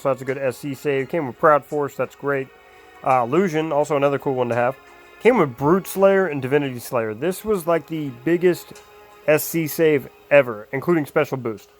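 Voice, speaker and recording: A man casually talking through a mobile game character build, rambling with lots of ums and uhs, his voice a little hoarse. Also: a little high. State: slightly hoarse, losing his voice. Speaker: mobile game guide creator. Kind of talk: casual game guide commentary.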